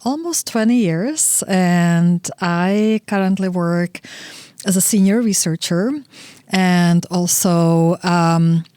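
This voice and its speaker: Brief: middle-aged woman from Czechia, low voice, dry close mic, measured and thoughtful podcast guest. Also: a little mellow, clean tone, no echo. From Czech Republic. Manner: thoughtful, measured, with frequent "you know" fillers. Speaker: research associate guest. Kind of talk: casual interview answers.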